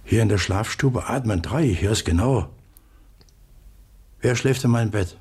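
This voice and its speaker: A husky, low-voiced stern father. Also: darkish tone, heavily acted. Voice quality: husky, gravelly. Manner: terse, authoritative, deliberate. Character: stern, stubborn father and master of the household. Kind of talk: radio drama acting.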